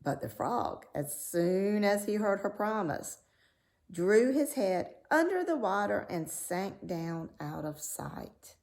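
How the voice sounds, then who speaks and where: Slow, very expressive woman storyteller. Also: medium pitch. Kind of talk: expressive fairy-tale read-aloud.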